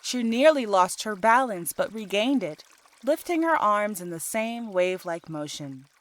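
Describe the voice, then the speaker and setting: A woman's voice: medium pitch, lively intonation, measured pace. A woman reading scripted audiobook narration as the storyteller of a fiction podcast.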